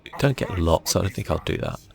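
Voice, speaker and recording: A man giving soft-spoken ASMR commentary as he plays a game, thinking aloud in a relaxed way. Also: low voice, racing, gravelly. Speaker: gaming video host.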